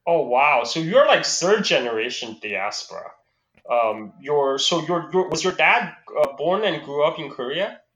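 Chinese-accented podcast host, roomy sound. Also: male voice, a little high, animated, fairly bright tone. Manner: halting, with fillers and self-corrections. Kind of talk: casual interview. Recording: roomy and slightly dull.

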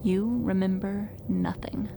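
Actress as stern lady secret agent in a radio play, curt and bossy, mid pitch, faint hiss. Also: steady tone, slow pace, vocal fry, mellow. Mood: cold, commanding. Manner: curt, clipped, commanding. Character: stern, sardonic undercover female agent posing as janitor.

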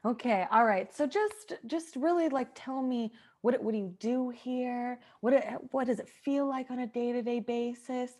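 A woman playing an eager, earnest wife newly committed to an asylum, effusive and halting, in improvised comedy. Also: on the high side, darkish tone, natural voice. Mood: anxious, insistent.

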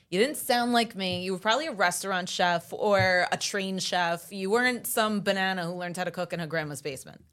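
A woman in her thirties from the USA, whose first language is Italian, talking as a podcast guest in a lively, wry, self-mocking way. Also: medium pitch. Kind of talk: casual interview chat.

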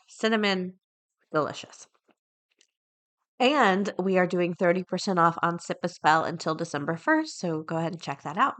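A woman's voice: on the low side, fairly bright tone. A woman hosting a podcast solo, talking casually and chattily, with informal asides and items read aloud. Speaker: podcast host.

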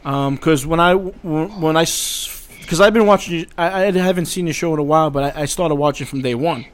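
A man guesting on a podcast, talking casually and haltingly, often repeating words. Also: on the high side. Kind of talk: casual chat.

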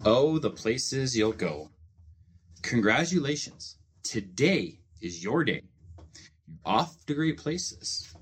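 A man with a slightly rough, husky voice reads a children's storybook aloud. His pitch rises and falls freely, and he phrases the verse in a measured way with expressive emphasis. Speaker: host reading a children's book aloud.

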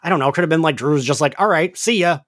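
A man's voice: a little high, quick pace, fairly bright tone, no echo, clean studio sound. A man co-hosting a casual recap podcast, chatty and giggly, peppering his talk with "like". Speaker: podcast co-host.

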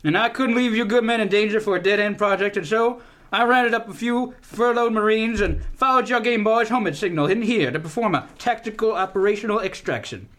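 A man's voice: sits high, a little fast, a bit bright. A man playing a blustering, fatherly army general in a comic radio play, commanding and folksy.

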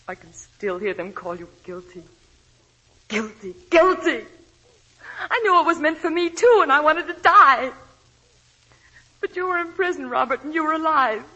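Desperate, pleading wife, high-pitched. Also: female voice, sharp tone. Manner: breathless, pleading. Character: desperate, devoted, guilt-ridden young wife. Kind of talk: 1940s radio melodrama acting. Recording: dull, lo-fi 1940s radio recording.

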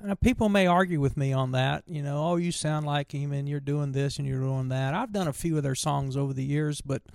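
A man in his sixties from tells stories in a folksy, rambling way, often stopping and starting over. His voice is gravelly and dry, with no room sound around it. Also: on the high side, a little fast, darkish tone, no echo. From Virginia, USA. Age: 60s. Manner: folksy, rambling, with many restarts. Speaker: bluegrass musician guest. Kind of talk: casual storytelling interview.

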